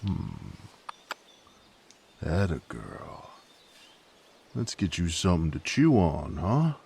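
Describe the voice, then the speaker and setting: Guy doing a gruff proud knight for audio roleplay, real low n husky, folksy drawl, sardonic. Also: wildly swooping pitch, slow pace, a little mellow, full character voice. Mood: tender, amused. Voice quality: gravelly, husky. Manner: folksy drawl with rustic slang. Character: gruff sardonic rough-bred male knight, proud and hot-tempered. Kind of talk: character audio roleplay acting.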